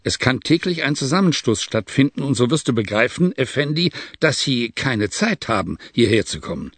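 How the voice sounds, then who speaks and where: A man's voice: mid-range voice, big pitch swings, normal speed, a bit bright, no echo. A man voicing a Bedouin tribesman giving a matter-of-fact report in an audiobook reading.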